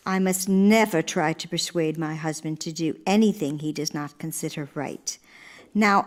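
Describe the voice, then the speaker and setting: An actress playing a principled, dignified older in live radio theater, speaking in a measured, formal and earnest way. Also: female voice, on the low side, a little mellow. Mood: firm.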